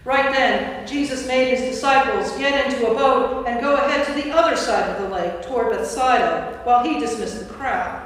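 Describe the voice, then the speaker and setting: A woman's voice: a little high, moderate pace. A woman giving a scripted dramatic narration of scripture as part of a staged reading. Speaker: narrator, priest. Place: large indoor church. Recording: echoey church hall from far off.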